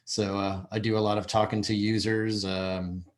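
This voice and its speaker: Male guest speaker, fairly low voice, informal explainer over a video call. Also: level tone, normal speed. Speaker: guest speaker, head of user experience. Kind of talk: casual explanatory talk.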